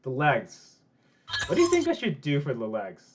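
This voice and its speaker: A man's voice: medium pitch, huge pitch swings, moderate pace, a crowd in the background. A man hosting a casual livestream, chatting in a rambling way and often repeating himself. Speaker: stream host. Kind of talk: casual chat while building on a livestream.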